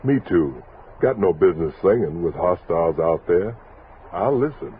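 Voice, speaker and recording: Expedition hand: low voice, proud, touchy, folksy delivery, radio western with narrow vintage sound. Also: male voice, expressive, normal speed. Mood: contrite. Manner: folksy, colloquial phrasing. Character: proud, easygoing but touchy male expedition member. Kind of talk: old-time radio western acting. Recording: narrow-band vintage radio with faint noise.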